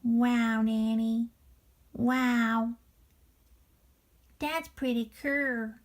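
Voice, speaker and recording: A woman's voice: a little high, fairly even intonation, very slow, voice pushed up. A woman voicing a childlike stuffed toy dog who is earnest and wide-eyed in a children's story. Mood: awed, earnest. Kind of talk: character reacting to a children's story.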